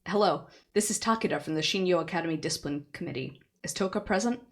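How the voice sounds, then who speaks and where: A woman's voice: lowish voice, fast, lightly acted. A woman voicing an earnest, jumpy teenage schoolboy who stammers when flustered. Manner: excitable, stammering.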